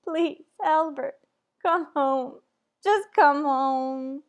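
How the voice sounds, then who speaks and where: A woman's voice: high-pitched, drawn-out words. A woman playing a frantic, demanding married woman, overwrought and melodramatic in a retro radio thriller style. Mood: pleading.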